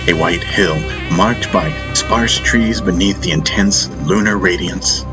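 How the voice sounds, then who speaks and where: A man reading a first-person fantasy audiobook as narrator, in a solemn, deliberate, dramatic style. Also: low voice. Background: faint music.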